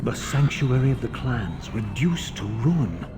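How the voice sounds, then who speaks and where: A man voicing a proud, vengeful undead vampire in a video game, brooding and bitter, with measured, grandiose, disdainful theatrical delivery. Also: mid-range voice, big pitch swings, over music. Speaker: protagonist narrator. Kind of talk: dramatic scripted game narration.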